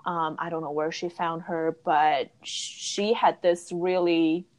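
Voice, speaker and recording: A woman in her thirties from China, a guest English teacher, talking in an unhurried, thoughtful way with frequent fillers. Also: lowish voice, flat delivery. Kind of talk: casual interview chat.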